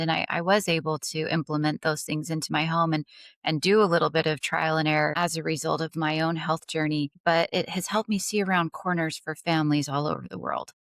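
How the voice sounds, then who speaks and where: Low-voiced woman telling her story. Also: a bit bright, no echo, clean studio sound. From Dubai. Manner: earnest, fluent storytelling with occasional restarts. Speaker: podcast guest. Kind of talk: conversational interview answers.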